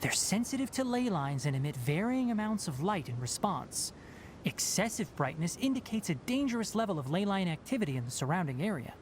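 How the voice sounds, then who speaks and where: A man's voice: high voice, very animated, fairly quick, background noise. An actor voicing a young, fox-eared male forest ranger in a video game, who talks in a precise, explanatory way. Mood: explaining. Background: game music.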